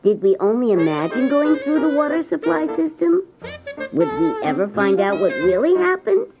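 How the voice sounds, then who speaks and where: A woman's voice: a little high, big pitch swings, moderate pace, music in the background. A woman playing a schoolchild who narrates class adventures with wonder in a children's story.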